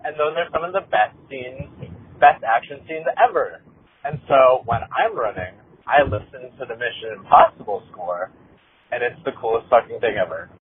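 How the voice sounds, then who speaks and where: A lo-fi phone voicemail from a man with a raspy, mid-pitched voice. He speaks casually as a caller, stressing his words emphatically. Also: expressive, normal speed.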